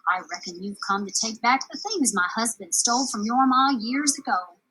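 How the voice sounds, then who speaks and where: A woman's voice: a little high, animated, normal speed, pushed and bright, breathy edge, heavily acted, low-quality recording. A woman voicing a kindly giant ranch wife in a storybook, folksy, doting and cautioning. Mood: motherly warning.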